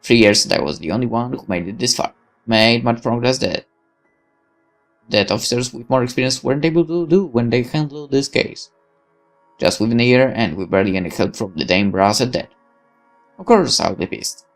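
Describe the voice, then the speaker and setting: A man's voice: medium pitch, normal speed, faint music behind. A man reading a visual novel's text aloud as dramatic, sardonic first-person narration. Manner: expressive, sardonic. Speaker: let's player reading game text.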